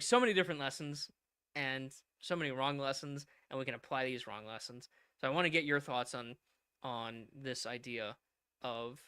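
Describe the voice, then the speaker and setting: Bright-voiced man asking long questions. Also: mid-range voice, no room sound. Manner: long rambling questions, piling up examples. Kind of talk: casual discussion.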